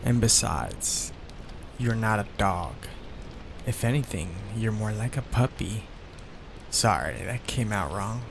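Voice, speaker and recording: A man plays an awkward, devoted young mafia heir in an audio roleplay, slow and gravelly, his pitch rising and dipping a lot as he fumbles for words. Steady rain patters clearly behind him. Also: noisy background, full character voice. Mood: sheepish. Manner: hesitant and sheepish, with fillers and restarts. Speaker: ASMR roleplay voice actor. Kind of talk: ASMR roleplay.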